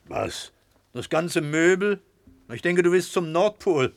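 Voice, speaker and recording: Droll, teasing sidekick, fairly high-voiced. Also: male voice, animated, slow pace, vocal fry, no room sound, heavily acted. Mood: skeptical, amused. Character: joking, reluctant male sidekick, former boxer, playfully long-winded. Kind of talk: German radio play acting.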